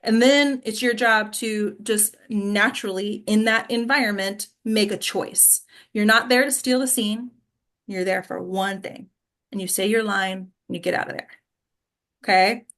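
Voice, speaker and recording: A woman's voice: mid-range voice, fairly even intonation, unhurried, a bit bright. Woman, acting coach and host. Casual, direct teaching, mid-range voice, frequent check-in tags. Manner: direct, instructive, checks understanding with tag questions.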